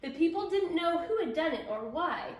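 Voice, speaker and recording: A woman's voice: extremely high pitch, animated, normal speed. A woman reading a picture book aloud to children, telling it expressively and with emphasis. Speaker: storybook reader. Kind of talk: children's picture book read-aloud with asides to young listeners. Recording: echoey room.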